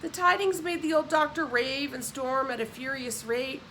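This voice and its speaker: A woman's voice: high voice, steady tone, normal speed, bright, forceful tone, slight background noise. A woman narrating an audiobook expressively, with casual explanatory asides.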